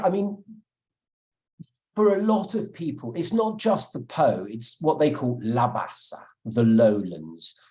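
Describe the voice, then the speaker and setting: A man with a lowish voice answers an interviewer's questions about his book. He speaks thoughtfully, often stalling on fillers and restarting his sentences. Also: a little mellow. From England. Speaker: author interviewed as guest.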